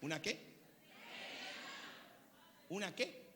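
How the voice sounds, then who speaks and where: A pastor in his fifties preaching a sermon to a congregation, throwing questions at them and swinging from loud bursts to quiet, low lines. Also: big pitch swings, very slow. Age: 50s. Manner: preaching with call-and-response questions, swinging from shouts to low tones. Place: large church hall. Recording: church hall reverb, faint noise.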